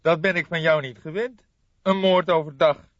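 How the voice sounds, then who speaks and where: A man voicing a nonchalant male police photographer with gruff, morbid humour in an audiobook. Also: on the high side, steady tone, normal speed, a bit bright, dry recording, muffled recording, pitched above the performer's own voice, lightly acted. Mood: nonchalant, teasing.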